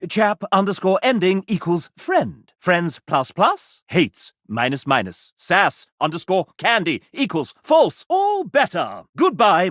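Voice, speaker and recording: Casting: a sassy, flamboyant video game show-host mascot. Theatrical and pompous, extremely animated pitch, savoring words slowly. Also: male voice, dry recording, clean studio sound, exaggerated voice. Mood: playful, sly. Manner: theatrical, pompous, showy, flowery diction. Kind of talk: video game character voice lines, game-show hosting.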